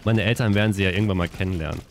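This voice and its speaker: A man's voice: deep voice, steady tone, gravelly, a little hiss or hum. A man in his late thirties chatting casually and self-deprecatingly while playing a game. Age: 30s. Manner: reads game text aloud haltingly. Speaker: let's play streamer. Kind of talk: casual gaming commentary. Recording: game music behind voice. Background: game music.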